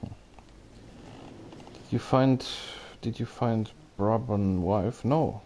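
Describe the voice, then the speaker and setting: A man's voice: lowish voice, extremely slow, a little mellow, slight background noise. A man chatting casually as he livestreams a video game, often hesitant and thinking aloud. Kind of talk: casual rambling game stream commentary, chatting with viewers.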